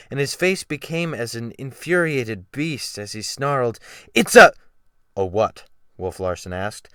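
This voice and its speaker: A man's voice: mid-range voice, fairly bright tone, no room sound, studio quality. A man narrating a novel as a dramatic, scripted audiobook reading.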